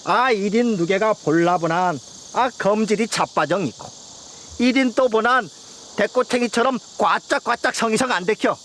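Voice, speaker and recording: A man's voice: high voice, normal speed, fairly bright tone, slight background noise. An actor playing an old village man, talking to his neighbor in a thick Jeju accent in a short dramatized scene. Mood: grumbling. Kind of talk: dialect drama reenactment.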